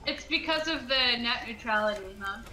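A woman's voice: on the high side, expressive, moderate pace, pushed and bright, rough recording. A woman chatting playfully with friends during an online game, giggling often. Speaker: gaming friend. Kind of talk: casual gaming chat.

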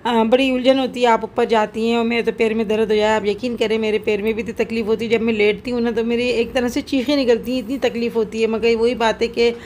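A woman's voice: a little high, level tone, fast talker, hardly any pauses, a little mellow, slight background noise. A middle-aged woman, chatting casually as she cooks in her home kitchen and explains each step with lots of fillers. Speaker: cooking vlogger. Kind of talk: casual cooking narration. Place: home kitchen, then rooftop.

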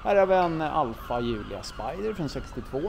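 A man's voice: fairly high pitch, big pitch swings, vocal fry, a little hiss or hum. A man walking through a car museum with a camera, giving enthusiastic casual commentary and often correcting himself as he goes. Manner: chatty, enthusiastic, muses aloud and corrects himself. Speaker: car vlogger touring a museum. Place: indoor car museum hall. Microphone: handheld camera mic, walking. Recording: visitor crowd in background. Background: crowd murmur of museum visitors.

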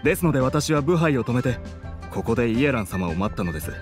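A man's voice: medium pitch, sing-song, fast talker, over music, close to an everyday voice. A man voicing an earnest, dutiful subordinate agent who speaks formally, in a Japanese video game. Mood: apologetic, reporting.

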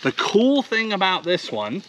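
A man's voice: sits high, wildly swooping pitch, a little slow, noisy background. A man in his thirties, an enthusiastic fishing host explaining gear step by step while sitting on a boat on a lake outdoors. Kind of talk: instructional fishing talk to camera.

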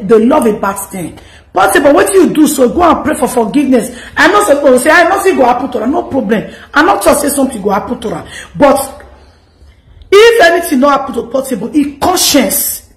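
Nigerian woman, 30s, pidgin commentary, scolding, fairly high voice, roomy phone recording. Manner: animated, scolding, mixes pidgin and English. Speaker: gossip commentary host. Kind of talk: direct-to-camera commentary.

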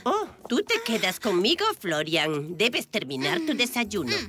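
A woman voicing a brisk, dismissive grandmother in a children's cartoon, chiding and no-nonsense. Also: medium pitch, huge pitch swings, noisy background.

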